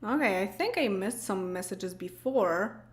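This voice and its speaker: A woman's voice: medium pitch, expressive, unhurried. A woman in her late twenties with a Polish accent, chatting casually on a livestream and answering comments, with lots of fillers, restarts and laughs. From Poland. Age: late 20s. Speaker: streamer.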